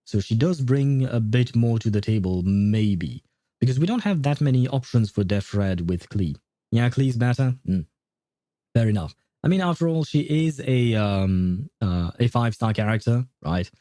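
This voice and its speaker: A man's voice: medium pitch, animated, moderate pace, mellow, dry recording. A man hosting a gaming livestream, chatting casually and rambling as he thinks aloud. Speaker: livestream host, gamer. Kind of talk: casual livestream chat and game advice.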